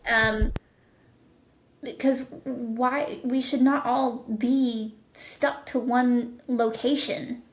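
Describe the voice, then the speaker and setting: Higher-voiced enthusiastic woman in a roomy space. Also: steady tone, extremely slow. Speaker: podcast guest, indie audio drama producer. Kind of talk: casual interview chat. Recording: roomy, echoing voice.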